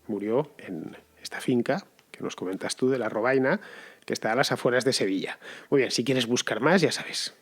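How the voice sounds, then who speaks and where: Male podcast host, casual and unhurried, fills pauses with "eh". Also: mid-range voice, slightly rough. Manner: relaxed and conversational, pauses with fillers. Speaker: podcast host answering listeners. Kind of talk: casual spoken commentary.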